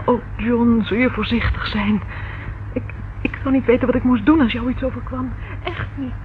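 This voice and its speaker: A woman's voice: fairly high pitch, fairly even intonation, moderate pace, close to an everyday voice. An actress in a radio play as an anxious, loyal young woman, hesitant, pleading and emotional. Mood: tearful worry. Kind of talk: Dutch radio play acting. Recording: dull lo-fi old radio recording, faint noise.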